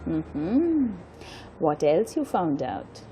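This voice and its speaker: An actress as a young Indian woman student in an audio drama. She speaks in a fairly low, lively voice with an Indian accent, bookish and teasing, over music. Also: extremely slow, exaggerated voice. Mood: teasing, defensive. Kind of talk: scripted audio drama dialogue.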